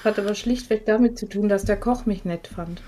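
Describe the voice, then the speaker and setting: A woman's voice: medium pitch, fairly quick, warm tone, a little hiss or hum. A woman co-hosting a casual chat podcast, getting over a cold, talking in a blunt, matter-of-fact way. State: leftover cold. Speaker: podcast co-host.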